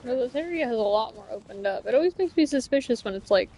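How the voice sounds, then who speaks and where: Creaky-voiced woman casually streaming a game. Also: fairly high pitch, expressive, quick pace, dark tone, slight background noise. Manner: musing aloud to herself. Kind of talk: casual live gameplay commentary. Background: faint game music.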